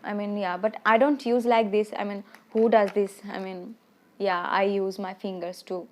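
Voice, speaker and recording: A woman's voice: medium pitch, flat delivery, normal speed, darkish tone. A woman who rambles through a casual product review, full of restarts and fillers, a little self-conscious. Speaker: beauty vlogger.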